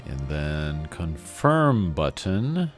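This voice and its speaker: Low-voiced man giving lively, rambling game commentary. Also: drawn-out words. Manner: rambling, opinionated, informal. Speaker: video game streamer. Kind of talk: casual let's-play commentary. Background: faint game music.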